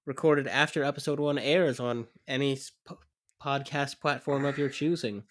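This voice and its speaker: A young man co-hosts a podcast in easygoing, wry casual chat. His voice sits at an ordinary middle pitch and comes through a desk mic on a remote call. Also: steady tone, normal speed. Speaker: podcast co-host. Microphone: USB desk mic in a remote call.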